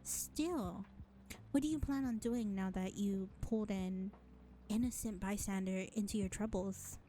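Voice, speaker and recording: A woman's voice: mid-range voice, measured pace, mellow, a little hiss or hum, natural voice. A woman voicing an exasperated, sarcastic vampire in an audio roleplay, drawling with mockery and scorn. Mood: grudging, sly. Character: sarcastic, exasperated, foul-mouthed female vampire.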